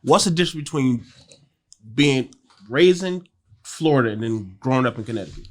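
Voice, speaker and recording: A man's voice: mid-range voice, expressive, normal speed. A man hosting a casual interview, blunt and joking with his guest. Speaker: host.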